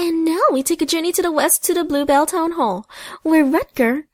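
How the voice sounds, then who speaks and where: A woman's voice: sits high, moderate pace, a little mellow, no echo, natural voice. A woman playing an arch, cheeky storyteller who narrates a hobby radio play with wry asides. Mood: wry, storytelling. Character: cheeky female storyteller who mocks the characters.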